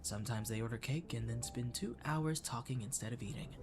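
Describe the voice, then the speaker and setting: A man acts out a friendly catboy cafe waiter for an ASMR roleplay. He is courteous and flirty, coaxing the listener gently at a medium male pitch, with clear music behind him. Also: brisk, close to an everyday voice. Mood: caring, playful. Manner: attentive, gently coaxing, lapsing into whispers. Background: clear background music.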